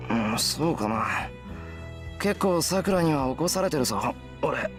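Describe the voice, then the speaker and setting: A man playing a sleepy, earnest, modest high school boy in a Japanese visual novel, casual and sincere. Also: expressive, a little fast, faint music behind, lightly acted. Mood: drowsy, earnest.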